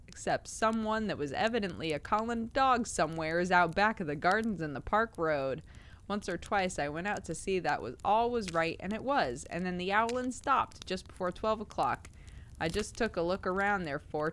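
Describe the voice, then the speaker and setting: A woman voicing a gruff working-class male zookeeper, dropping his h's in a slow drawl. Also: medium pitch, fairly bright tone, lightly acted. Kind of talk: reading a Victorian tale aloud.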